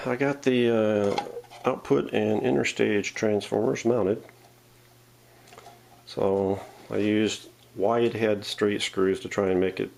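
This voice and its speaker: A man with a low voice and a lot of gravelly fry talks casually through a hands-on repair demonstration. He is unscripted, explaining things step by step, with clear background noise and small clicks around him. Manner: unscripted, talking through steps while handling parts. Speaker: host of a vintage radio restoration channel. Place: home workshop.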